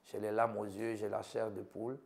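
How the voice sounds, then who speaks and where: Gravelly middle-aged Ivorian man. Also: fairly low pitch, level tone, normal speed. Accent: Ivorian French. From Côte d'Ivoire. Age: 50s. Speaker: doctor interviewed about his life. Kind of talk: personal account in an interview.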